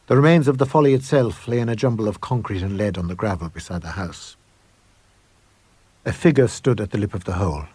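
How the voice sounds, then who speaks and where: A man with a husky voice reading a ghost story as dramatic first-person narration, measured and suspenseful. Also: on the low side, darkish tone, no room sound. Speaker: reader of a short story.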